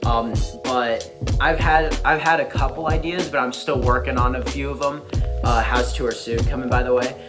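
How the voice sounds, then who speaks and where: Young adult American male vlogger, informal and halting delivery, mid-range voice, clear music bed underneath. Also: medium pitch, steady tone. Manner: halting, informal, thinks aloud. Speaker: YouTuber. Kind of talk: casual vlog chat to viewers. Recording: music playing behind the voice. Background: background beat music.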